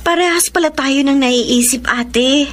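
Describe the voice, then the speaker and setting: An actress with a Filipino accent playing a cheerful, devoted younger sister in her early twenties in a Tagalog radio drama, animated and earnest. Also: high-pitched, a little slow. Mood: friendly.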